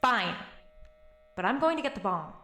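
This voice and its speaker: A woman's voice: mid-range voice, very animated, moderate pace, fairly bright tone. An actress playing a determined, matter-of-fact young woman in an audio drama, dry and slightly exasperated. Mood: defensive, exasperated. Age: young adult. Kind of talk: naturalistic audio drama acting. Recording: roomy echo with music behind.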